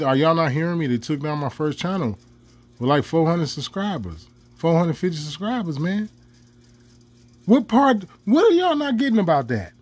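A man's voice: sits high, no echo. Angry ranting man in his forties. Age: 40s. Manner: profane, heated, laughs mid-rant. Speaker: commentator.

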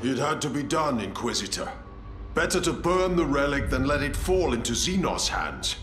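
An actor playing a resolute space marine commander in a video game, grave, commanding and decisive. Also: male voice, mid-range voice, big pitch swings, moderate pace, fairly bright tone. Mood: grave defiance. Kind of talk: video game character acting. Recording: echoing, with music behind.

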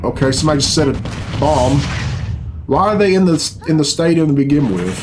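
Higher-pitched man grumbling through reaction commentary. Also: lively intonation, fairly quick, background noise. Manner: blunt, grumbling, puzzled, swears freely. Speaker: reaction video host. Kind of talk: casual off-the-cuff reaction commentary. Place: small furnished room at home. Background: game cutscene music and sound.

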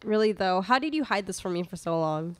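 A woman's voice: medium pitch, lively intonation, fairly quick, smooth, no room sound, natural voice. A young woman playing a headstrong daughter out for revenge in a live radio play. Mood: determined. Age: young adult. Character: vengeful, headstrong daughter hunting a vampire. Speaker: student radio play performer. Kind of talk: live radio theatre acting. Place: small classroom studio. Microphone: stand microphone, close.

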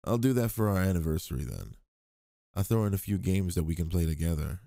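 A man playing an affectionate, protective, gently teasing boyfriend in an intimate roleplay ASMR audio. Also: sits low, lively intonation, fairly quick, creaky, dry recording, clean studio sound. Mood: playful teasing.

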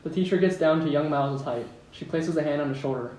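A man narrates stage directions at a table read. His voice is a bit high. He sounds slightly distant in an echoey room with faint background noise. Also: fast talker, darkish tone.

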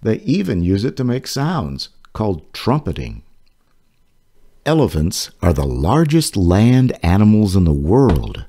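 A man reading his own children's picture book aloud, in a gentle storytelling manner with a lively rise and fall. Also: fairly low pitch. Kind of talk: slow children's read-aloud. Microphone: voice-over over book pages.

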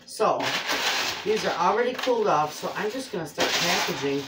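A woman's voice: on the low side, expressive, normal speed. A woman in her fifties chatting to viewers in a homey way while cooking in her home kitchen. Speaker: homestead cooking vlogger. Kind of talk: casual cooking chat to camera. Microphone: far camera on a tripod. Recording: echoey kitchen from a distance, faint noise.

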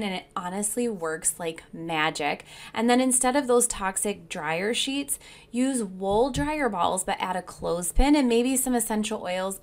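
A woman hosting a home wellness video, reading a steady, informative script as a voice-over and recommending products she uses. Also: fairly high pitch. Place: not seen, voice-over over slides.

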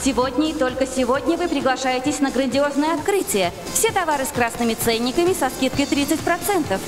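A woman's voice: sits high, fairly quick, over music, lightly acted. A woman voicing a peppy young promoter making upbeat store-opening announcements in a cartoon, with long public-address reverb.

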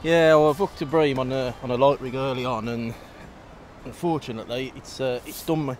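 A man, giving a relaxed, detailed walk-through of his fishing rig outdoors by a river. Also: medium pitch, brisk, faint music behind. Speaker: match angler guest. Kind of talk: casual explanation of fishing tackle. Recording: outdoor recording, faint noise.

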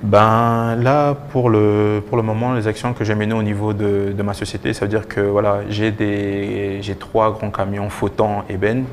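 A young man giving halting answers with repeated words as a guest in a TV interview. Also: fairly low pitch, flat delivery, slight background noise. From DR Congo and Belgium. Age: young adult. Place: TV studio. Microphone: lapel mic.

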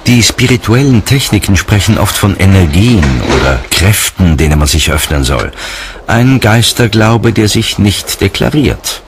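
A man's voice: lowish voice, animated, measured pace, noisy background. A man reading scripted narration for a documentary.

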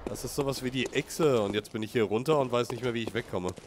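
A man's voice: medium pitch, fast talker, heavy background noise. A man with a slight cold and a sniffle, chatting casually to viewers while he plays and reacts aloud to the game. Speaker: let's play gamer. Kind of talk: casual gameplay commentary.

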